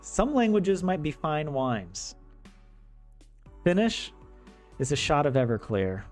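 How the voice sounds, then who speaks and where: American man, young adult, casual stream chat, bouncy up-and-down pitch, jokey and rambling, faint game music behind. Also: very animated, slow pace, a little mellow. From United States. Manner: chatty, joking, often laughing, many fillers and restarts. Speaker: streamer teaching Finnish. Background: faint video game music.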